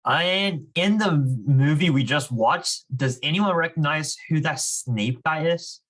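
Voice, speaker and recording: A man's voice: on the high side, takes it slowly, a bit bright. A man leading an online reading club in a casual, encouraging, informal way. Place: online group video call.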